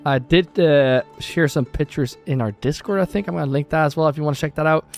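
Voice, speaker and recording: A man's voice: on the high side, fairly quick, creaky, soft background music. A young man from southern Sweden with a Swedish accent, chatting casually with viewers while streaming a strategy game. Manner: chatty, thanks viewers and reads chat aloud. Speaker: gaming livestreamer. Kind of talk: casual live-stream chat over gameplay.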